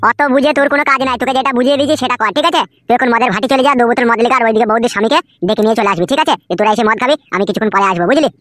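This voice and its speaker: A woman's voice: on the high side, very fast, hardly any pauses, a bit bright, no echo, studio quality, close to an everyday voice. A woman voicing a scheming, foul-mouthed young male troublemaker in a comedy cartoon, slangy and bossy. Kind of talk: comic cartoon dialogue. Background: music.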